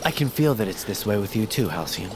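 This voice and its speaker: Brooding male outcast warrior played by a man, low voice, poetic and archaic, for a fantasy audio drama. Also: expressive, fast talker, noisy background, close to an everyday voice. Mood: earnest. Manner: poetic, archaic, sardonic turns of phrase. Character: brooding male fighter of the Nameless, abandoned as a child. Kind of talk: dramatic audio fiction acting.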